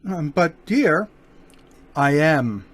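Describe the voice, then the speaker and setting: An actor playing a suave gentleman burglar in a community radio play, cool and teasing even when caught. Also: fairly high pitch, drawn-out words, heavily acted. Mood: smug.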